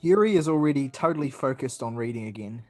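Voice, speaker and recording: A man's voice: medium pitch, fast, soft background music. A man, casually reading game text aloud and joking with friends on a podcast. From New Zealand. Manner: relaxed, joking, laughs between lines. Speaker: let's play co-host reading the game text.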